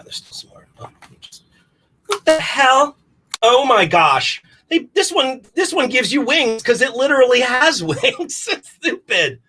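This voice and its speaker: A man's voice: sits high, sharp tone, a little hiss or hum. A man performing a rambling comic monologue to camera, flustered, apologetic and self-deprecating, with theatrical exclamations. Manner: theatrical, exclaims in sudden outbursts. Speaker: comedic performer in an online showcase.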